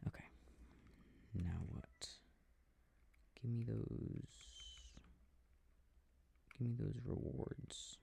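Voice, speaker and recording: Man acting as affectionate boyfriend, soft mellow murmur, creaky low voice, rambling, gently bemused, intimate ASMR sleep aid. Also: extremely slow, long pauses, heavily acted. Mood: absorbed, mildly puzzled. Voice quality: soft, husky, breathy. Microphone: close microphone.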